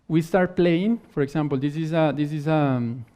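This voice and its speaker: A man whose first language is not English, giving a technical conference talk and answering questions in halting phrases that he often restarts. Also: on the high side, warm tone. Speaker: conference speaker. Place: conference hall stage. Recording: dull lo-fi conference recording.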